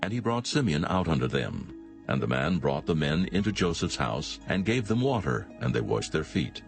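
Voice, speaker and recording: Very deep, gravelly male narrator. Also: sing-song, moderate pace. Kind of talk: solemn scripted scripture narration. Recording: music behind the voice.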